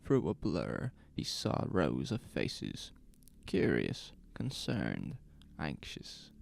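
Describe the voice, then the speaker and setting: A man narrating a scripted horror story in a deliberate, suspenseful, dramatic manner. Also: very deep voice, wildly swooping pitch, gravelly. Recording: faint noise under ambient sound effects.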